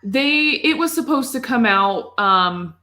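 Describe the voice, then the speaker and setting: A woman chatting casually on a gaming podcast, sharing opinions in halting, filler-heavy bursts. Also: a little high, lively intonation, pushed and bright. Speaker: podcast panelist. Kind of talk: casual group chat about video games.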